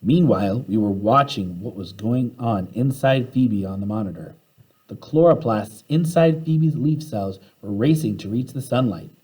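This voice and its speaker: A man reading a children's storybook aloud in an animated way, acting out the dialogue as he goes. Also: medium pitch, normal speed, husky, poor audio. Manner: animated, acts out the characters' dialogue.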